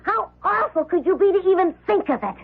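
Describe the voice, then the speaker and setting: A woman's voice: extremely high pitch, expressive, fairly quick. A woman acting a jaded, blunt, defiant character in an old radio drama. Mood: appalled. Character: jaded, defiant, frank woman with tough edge. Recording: muffled, narrow-band old radio broadcast.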